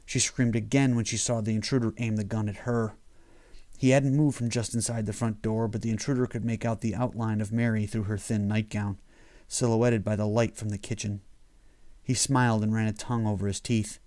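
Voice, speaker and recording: A man's voice: on the low side, little pitch movement, fairly quick, warm tone. A man reading his own horror short story as scripted audiobook narration.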